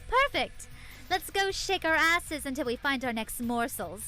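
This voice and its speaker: Flirty female vampire for a video game: sultry, teasing, pleasure-seeking, higher-pitched and very animated, music underneath. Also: a little slow, heavily acted, rough recording. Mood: upbeat, playful. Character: flirty, hedonistic female vampire-like creature who loves partying. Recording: music behind the voice.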